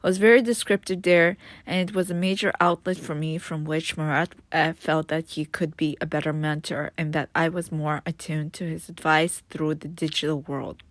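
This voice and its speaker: A woman's voice: low voice, flat delivery, moderate pace, few pauses, no room sound. A woman from Israel, a Hebrew speaker, reading her own memoir in English in an earnest, reflective first-person style with occasional restarts.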